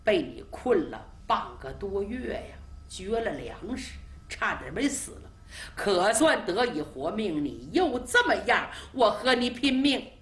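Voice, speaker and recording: A woman's voice: medium pitch, very animated, unhurried. A woman voicing a cornered defiant prince. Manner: defiant, pleading. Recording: roomy echo.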